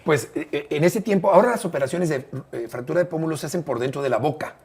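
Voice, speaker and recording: A middle-aged Mexican man speaks as an interview guest, recounting events in careful detail with occasional hesitations. His voice is somewhat husky and sits a little high for a man. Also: a little mellow. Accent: Mexican Spanish. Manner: measured, detailed storyteller with frequent hesitations. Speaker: interview guest, sports broadcaster. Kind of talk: conversational storytelling.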